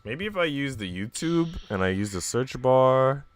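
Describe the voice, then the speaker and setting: A man's voice: mid-range voice, animated, normal speed. A man live streaming in an informal, slangy way, moving between casual chat and reading aloud.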